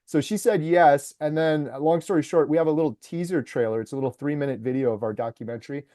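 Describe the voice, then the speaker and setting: Eager male documentary maker in an interview; mellow, slightly high, dry close sound. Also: fast talker, dry recording. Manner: enthusiastic storyteller, lots of fillers like "like" and "uh". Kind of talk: casual interview conversation.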